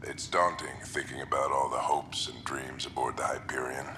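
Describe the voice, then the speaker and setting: An actor playing a weary, gruff middle-aged explorer father, speaking gravely and reflectively into his personal log for a video game. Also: level tone, normal speed, noisy background. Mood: regretful. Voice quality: gravelly, low.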